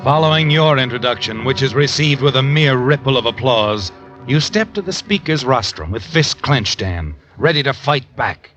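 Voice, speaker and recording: A man's voice: mid-range voice, expressive, moderate pace, natural voice. An actor playing a sly, knowing narrator of a radio mystery, addressing a guilty man in an insinuating manner. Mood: taunting, ominous. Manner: insinuating, addresses the character as you. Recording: dull old radio broadcast, music behind.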